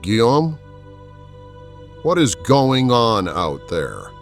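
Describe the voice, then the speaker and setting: A man playing a haughty, zealous villainous cardinal in an audio drama, pompous and deliberate. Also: medium pitch, sing-song, vocal fry, faint music behind, exaggerated voice. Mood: alarmed.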